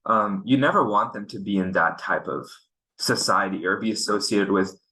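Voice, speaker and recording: A man's voice: fairly low pitch, moderate pace, bright, forceful tone, low-quality recording. A young man from Pakistan hosting a casual podcast chat, earnest and frustrated, often restarting his sentences. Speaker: podcast host. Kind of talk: casual conversation.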